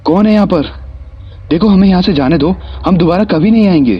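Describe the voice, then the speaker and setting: A man's voice: high-pitched, brisk, husky, dull audio. A man playing a brash young man among friends in a horror story drama. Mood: frightened.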